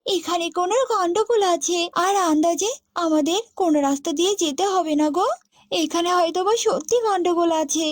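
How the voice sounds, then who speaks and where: A woman's voice: very high-pitched, no echo, studio quality. A woman voicing a small, brave female tailorbird in a cartoon, earnest, protective and determined. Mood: wary. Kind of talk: cartoon voice acting.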